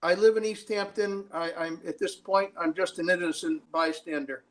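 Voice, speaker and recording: A man's voice: high-pitched, fairly even intonation, normal speed, fairly bright tone. A man introducing himself at a workshop, with wry, dry humor. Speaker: workshop participant. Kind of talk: self-introduction in a meeting.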